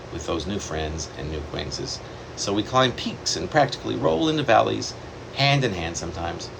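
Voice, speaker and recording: A man reading his own poem aloud in a measured, reflective way, pausing deliberately between phrases. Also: low-pitched, vocal fry. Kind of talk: poetry reading. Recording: steady air-conditioner hum behind the voice.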